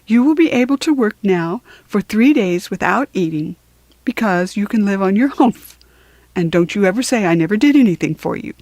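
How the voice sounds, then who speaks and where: A woman voicing a brisk, scolding desert djinn in a children's storybook, with emphatic, admonishing phrasing. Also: mid-range voice, a little mellow, no echo. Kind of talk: children's storybook character reading.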